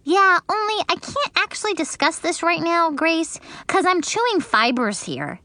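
A woman voicing an excitable, bossy first-grade girl who chatters in an eager, earnest way for an audiobook. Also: very high voice, measured pace, no echo, voice pushed up, heavily acted. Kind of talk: audiobook character voice.

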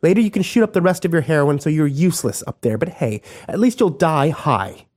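A man narrating an audiobook voices a furious, grieving young woman, shouting bitter accusations. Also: fairly high pitch, expressive, fairly quick, voice pushed up.

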